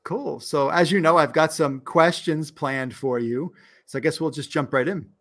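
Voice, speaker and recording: A man's voice: a little high, moderate pace, a bit bright. A man with a New York accent hosting a podcast interview, upbeat and laughing often as he introduces his guest. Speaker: podcast host.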